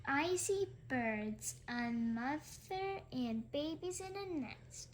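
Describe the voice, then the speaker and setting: Woman as little girl pig, high and lively, curious, chatty, storybook character, faint background noise. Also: slow. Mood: excited. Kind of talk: children's storybook read-aloud.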